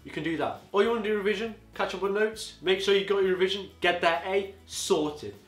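An eighteen-year-old man giving rambling, casual advice to camera from a living-room sofa, his voice going a bit hoarse. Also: sits high, a little fast, a bit bright, reverberant, faint music behind. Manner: rambling, chatty, peppered with "you know". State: voice getting hoarse. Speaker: student vlogger. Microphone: camera on a tripod across the room.

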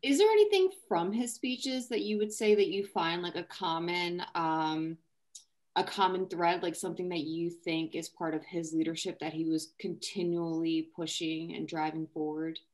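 A woman in her thirties speaks in a slightly low voice. She talks casually as a participant in an online discussion, on a video call from a room at home. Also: normal speed, darkish tone. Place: living room or home office, indoors. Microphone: video call computer mic.

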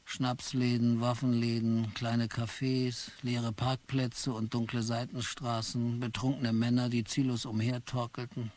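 An actor narrating as a weary clerk in a German radio play, with dry, wry storytelling. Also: male voice, medium pitch, little pitch movement, measured pace, hardly any pauses, a little mellow, lightly acted. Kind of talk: scripted narration.

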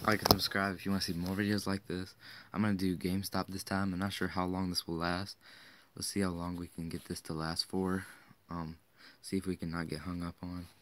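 A young man chatting casually in a hesitant, meandering way, as a prank-call vlogger talking into a phone. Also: deep voice. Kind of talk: casual chat. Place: small indoor room with panelled ceiling. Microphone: phone at arm's length. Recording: noisy amateur phone recording with clicks.

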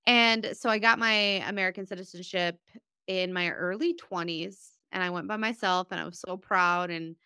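A woman's voice: on the low side, fairly even intonation, normal speed, bright, forceful tone, smooth, no echo, clean studio sound. A woman from Bosnia in her thirties, a podcast guest, talking candidly and at length about her life in a heartfelt, rambling way. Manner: earnest, rambling, emotional storytelling. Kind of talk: candid personal conversation.

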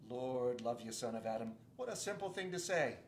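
A man doing a loud, folksy talking beaver. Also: medium pitch, lively intonation, normal speed, a little hiss or hum, lightly acted. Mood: earnest. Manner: rustic, loud and emphatic. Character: folksy talking male beaver, earnest and gloomy. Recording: roomy echo.

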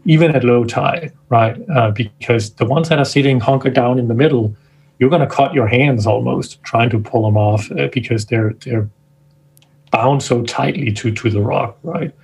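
Guest man from Denmark, husky voice, thoughtful and searching for words, video call. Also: medium pitch, moderate pace, darkish tone. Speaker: guest scientist. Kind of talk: casual interview talk.

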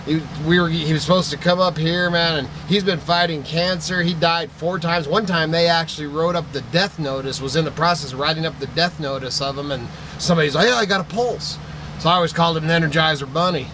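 A man's voice: on the high side, a little fast. Sentimental rambling man, noisy shop. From Idaho. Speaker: vlogger, antique picker. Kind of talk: casual chat to camera. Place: cluttered indoor shop.

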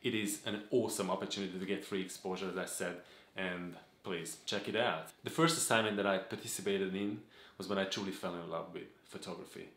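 A man, earnestly introducing his photography channel from a home office, with frequent filler pauses. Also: sits low, fairly even intonation, a bit bright, reverberant. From Hungary. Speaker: photography vlogger. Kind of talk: talking-head channel introduction. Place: home office room with desk and gaming chair.

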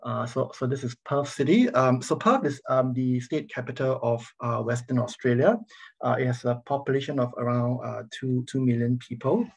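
A middle-aged Singaporean-accented man presents slides to an online audience as a guest academic. His voice has a creaky, slightly raspy grain. He speaks haltingly, often restarting his phrases. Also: medium pitch, darkish tone. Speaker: university professor, guest speaker. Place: online video meeting, speaking over slides. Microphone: video call microphone.

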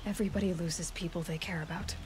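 A woman's voice: on the low side, steady tone, quick pace, over music, close to an everyday voice. A young woman voicing a determined, blunt outlander huntress in a video game cutscene. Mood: firm, urgent. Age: young adult. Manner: blunt, stern, terse. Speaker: lead character voice actor.

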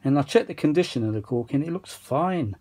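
A man's voice: on the high side, brisk. A British man giving casual, rambling, self-mocking commentary as he talks to himself during a repair at an indoor workbench. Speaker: vintage audio repair hobbyist and video maker. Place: indoor workshop bench. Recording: steady machine noise behind voice.

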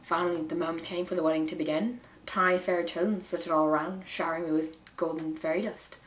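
A woman's voice: on the low side, fairly even intonation, a little fast, lightly acted. A woman voicing a dreamy, wry storybook heroine recounting her adventure, in an amateur put-on Irish accent. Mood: wistful storytelling. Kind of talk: dramatic storybook read-aloud. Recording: roomy echo, some background noise.

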